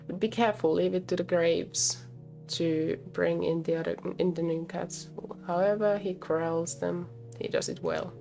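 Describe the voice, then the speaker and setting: A woman's voice: lowish voice, steady tone, normal speed, vocal fry, dark tone, faint music behind, rough recording. A woman voicing a caring, reassuring cafe coworker in a visual novel, with a concerned, coaxing manner. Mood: earnest advice. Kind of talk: visual novel dialogue read aloud.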